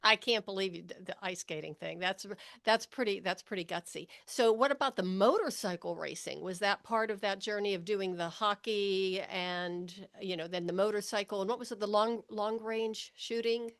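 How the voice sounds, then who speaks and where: A woman hosts a podcast interview in a casual, chatty way. Her voice sits at a medium pitch, and she keeps her guest going with frequent short affirmations. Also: normal speed, few pauses, fairly bright tone. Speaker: podcast host.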